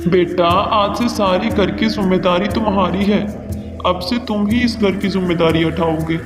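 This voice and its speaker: Stern, self-serving bird father for a kids' cartoon, played by a man; high-pitched and declamatory, in a hall-like reverb. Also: steady tone, normal speed, lightly acted. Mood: businesslike. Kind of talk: Hindi children's cartoon dubbing. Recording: long hall reverb, music underneath.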